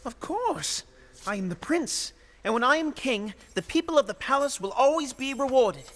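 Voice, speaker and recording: A woman's voice: high voice, sing-song, normal speed. A woman playing a spoiled, entitled young prince in an audio drama, self-assured and haughty. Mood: smug.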